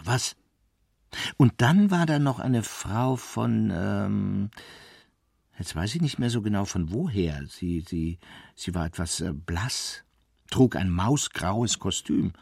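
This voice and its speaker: An actor playing an agitated, evasive witness in a German radio play, hesitant, testy and given to digressions. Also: male voice, on the low side, expressive, takes it slowly, gravelly, slightly rough, dry recording, clean studio sound, full character voice. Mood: rapt, then searching, unsure. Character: evasive, agitated, rambling male witness, enthusiast of poetry.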